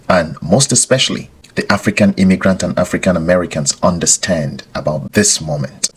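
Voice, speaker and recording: Very deep-voiced man, deliberate podcast host. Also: breathy edge. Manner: deliberate, rhetorical questions, repeats phrases for emphasis. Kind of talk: scripted explanatory commentary to listeners.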